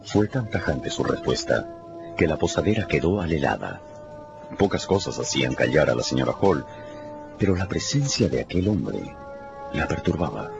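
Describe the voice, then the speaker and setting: A man's voice: rumbling low, sing-song, moderate pace, gravelly. A man narrating a radio drama from a script in a dramatic storytelling style. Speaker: narrator, announcer. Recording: muffled radio production with music.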